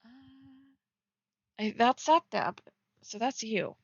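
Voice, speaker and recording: Higher-pitched woman, dry lo-fi mic. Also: lively intonation, slow, vocal fry, no echo. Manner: giggly, breaks off reading to comment. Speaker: streamer reading fan fiction aloud. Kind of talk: casual read-aloud with running commentary.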